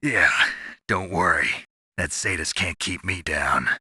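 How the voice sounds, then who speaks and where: A man playing a gruff, sardonic, tough covert-ops soldier in a video game, with a gravelly voice and terse, wry delivery. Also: sits low, a little slow, bright, forceful tone, dry recording, studio quality, full character voice. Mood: grim, determined. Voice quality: gravelly, raspy.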